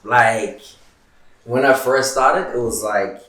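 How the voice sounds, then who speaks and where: A man's voice: mid-range voice, slow pace, a bit bright, echoey room. A young man from Zimbabwe, a rapper, chatting in a casual interview in a laid-back way with lots of "like" fillers. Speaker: rapper guest. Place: living-room studio set with couches.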